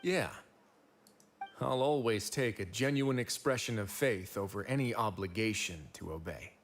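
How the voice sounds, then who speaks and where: An actor playing a calm, generous overseer of a prison fortress in a video game, speaking in a measured, formal way. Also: male voice, on the low side, slight background noise, natural voice. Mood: calm, gracious.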